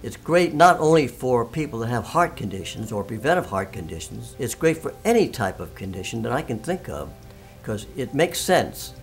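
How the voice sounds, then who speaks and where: A man's voice: mid-range voice, big pitch swings, normal speed, soft background music. A man in his nineties giving an unscripted patient testimonial, plain-spoken, enthusiastic and emphatic. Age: 90s.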